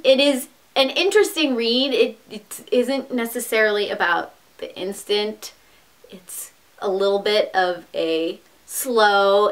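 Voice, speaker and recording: Bright-voiced young woman gushing about books. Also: on the high side. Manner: enthusiastic, chatty, with quick asides and restarts. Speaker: book vlogger. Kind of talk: casual book recommendation vlog.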